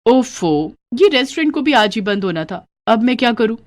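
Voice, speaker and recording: A woman's voice: a little high, sing-song. A woman voicing a bossy rich employer. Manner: commanding, brisk. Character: bossy well-off lady employer.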